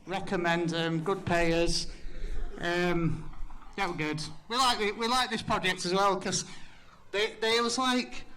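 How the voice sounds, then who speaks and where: A man's voice: high voice, fairly even intonation, a little slow, pushed and bright, a little hiss or hum, low-quality recording. A young man with a Yorkshire accent giving a casual, sweary, self-deprecating talk about his design work at a community event. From Yorkshire, England. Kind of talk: casual conference presentation.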